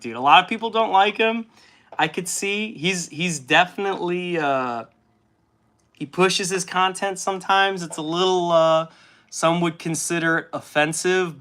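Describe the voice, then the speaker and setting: A young man hosting a casual livestream while painting miniatures, chatty and at times loud and impassioned. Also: on the high side, clear, resonant tone.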